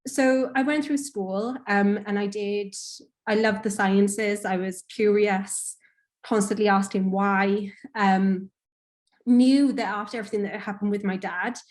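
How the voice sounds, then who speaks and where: A woman's voice: mid-range voice, a little mellow. Chatty young woman from Wales on a video call. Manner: chatty, many fillers and hedging "sort of". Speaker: panel speaker, cancer researcher. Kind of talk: casual career talk on a video call.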